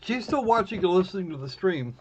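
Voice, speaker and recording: A man hosting a livestream, giving casual, snarky commentary on a game with halting pauses. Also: sits high, expressive, a little hiss or hum. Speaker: livestream host. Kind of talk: casual live commentary on a visual novel. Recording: dull lo-fi microphone sound.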